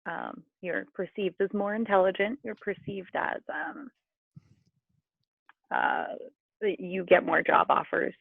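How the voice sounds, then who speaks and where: An American woman chatting as a podcast guest, lively and eager. Her mid-pitched voice has a gravelly fry. She comes through a narrow, tinny call line. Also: takes it slowly, long pauses, dry recording. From southern US. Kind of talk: casual chat.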